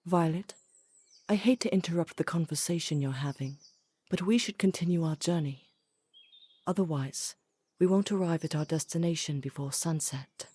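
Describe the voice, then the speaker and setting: A woman playing a courteous, devoted queen consort in a fantasy audio drama, polite and composed, with a husky voice. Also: low-pitched, moderate pace, warm tone. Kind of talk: scripted fantasy audio roleplay.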